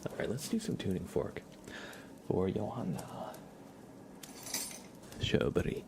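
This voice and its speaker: A man hosting an ASMR livestream in a hushed, slow, soothing whisper. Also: low-pitched, sing-song, gravelly, background noise.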